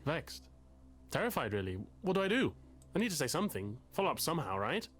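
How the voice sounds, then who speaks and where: Nervy bookish man confessing stuff in a game, wordy and halting, pitch all over, music behind. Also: sing-song, fairly bright tone. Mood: fretful, flustered. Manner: wordy, halting, self-interrupting. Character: anxious, bookish, self-pitying man agonizing over an affair. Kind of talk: confessional dramatic game dialogue.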